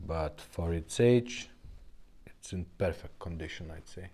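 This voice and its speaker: Slow-spoken, low-voiced man demonstrating gear. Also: dark tone, breathy edge. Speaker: vintage car radio repairer presenting a demo. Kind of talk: casual product demonstration.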